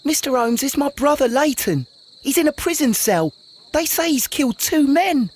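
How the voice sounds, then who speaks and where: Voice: high-pitched, expressive, normal speed. A performer playing an anxious, pleading Victorian London street urchin boy with an English accent in a video game. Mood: worried, pleading. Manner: urgent, informal.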